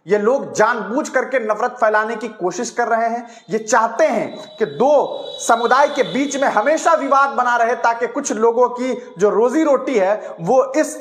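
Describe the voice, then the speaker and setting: A man's voice: very high-pitched, echoey room. A man giving opinionated news commentary in an emphatic, indignant manner full of rhetorical questions.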